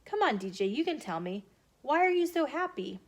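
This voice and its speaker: A woman's voice: high-pitched, sing-song, normal speed, pitched above the performer's own voice. An American woman voicing a friendly, reassuring male schoolyard teacher in a storybook, with earnest emphasis. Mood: curious, coaxing.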